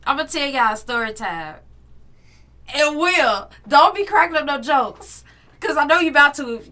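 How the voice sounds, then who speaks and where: Bright high-voiced woman telling stories. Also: moderate pace. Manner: animated, laughing, addresses listeners directly. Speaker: podcast co-host. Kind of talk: casual storytelling chat.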